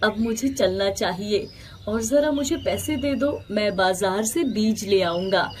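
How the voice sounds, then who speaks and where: A woman voicing a kind, hopeful male farmer in an animated moral story, earnest and reassuring. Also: medium pitch, measured pace, slight background noise, lightly acted. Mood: happy, tender. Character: hopeful, protective, kind village farmer man.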